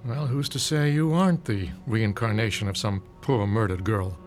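Actor as a measured, courteous male professor. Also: fairly low pitch, faint music behind, lightly acted. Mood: concerned, measured. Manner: measured, apologetic, formal. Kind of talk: audio drama acting.

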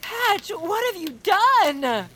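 A woman's voice: very high voice, very animated, very slow, a bit bright, a little hiss or hum, exaggerated voice. A woman playing a polite, fussy, anxious homebody in an audio drama, talking in a fretful, long-suffering way. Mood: exasperated. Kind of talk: audio fiction acting.

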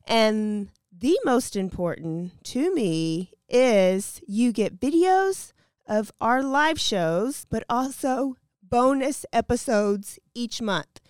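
A woman's voice: on the high side, expressive, takes it slowly, a little mellow, smooth, dry recording, clean studio sound. A woman chatting informally and casually as a podcast co-host. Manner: chatty, informal, uses y'all.

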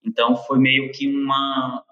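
A man answers interview questions about his writing, pausing and restarting his phrases often. His voice sits at a middle pitch. It rings through an echoey room with a long reverb tail. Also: level tone, pushed and bright, muffled recording. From interior of Ceará, Brazil. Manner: halting, many restarts and "né". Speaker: novelist guest. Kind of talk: casual panel interview.

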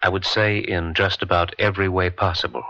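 Deep-voiced, reluctant male witness. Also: flat delivery, bright, forceful tone, slight background noise. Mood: reluctant, restrained. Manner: halting, terse, formal witness answers. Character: reluctant male war correspondent testifying about his affair. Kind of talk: old-time radio drama acting. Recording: dull lo-fi vintage radio.

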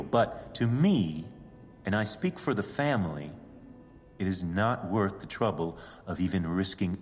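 Pompous, deep-voiced wealthy heir. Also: male voice, big-room sound. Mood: coolly imperious. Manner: pompous, formal, deliberate diction. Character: haughty wealthy male heir, secretive and imperious. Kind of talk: audio drama acting.

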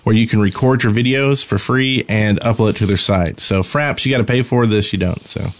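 A man with a fairly low voice talks casually as a podcast co-host. The sound is dry and close, with little room to it. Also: moderate pace, no echo.

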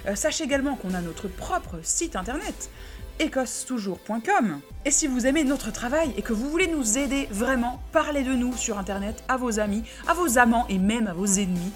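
A woman's voice: fairly high pitch, moderate pace, faint music behind. Chatty podcast co-host, a woman. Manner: lively, chatty, with fillers. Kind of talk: casual chat and scripted outro.

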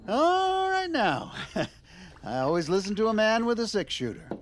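Boozy political charmer for audio drama: high voice, big swoops, rambling folksy bluster. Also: male voice, wildly swooping pitch, a little slow, full character voice. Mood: flustered. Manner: flamboyant, rambling, jokey bluster. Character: boozy, fast-talking, folksy charmer of a Washington insider.

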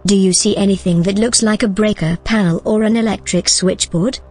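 Audio drama casting: actress for female AI helper, medium pitch, composed, matter-of-fact, formal, music behind. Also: fairly even intonation, fairly quick, a little mellow, breathy edge, lightly acted. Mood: composed, helpful. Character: calm, literal, helpful female artificial intelligence assistant.

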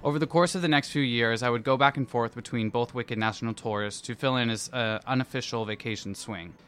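A man's voice: mid-range voice, a little fast, fairly bright tone, soft background music. A man reading his personal essay aloud in a theatrical, playful, self-deprecating manner. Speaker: musical theatre performer and dance captain.

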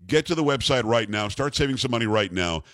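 A man's voice: mid-range voice, lively intonation, fast talker, a bit bright, no echo. A man hosting a talk radio show, speaking bluntly and emphatically, and reading ads live from the studio.

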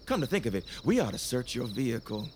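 A man's voice: mid-range voice, sing-song, fast, background noise, lightly acted. Man acting a mocking cop, swooping delivery. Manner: drawling, sarcastic, folksy. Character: mocking small-town male cop, blustering and folksy. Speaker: police officer. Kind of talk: audio drama acting. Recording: outdoor night ambience with crickets.

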